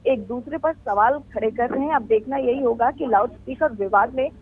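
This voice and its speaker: A woman giving a live, unscripted news report in a halting, explanatory way. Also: on the high side, slightly rough. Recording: tinny phone-line sound.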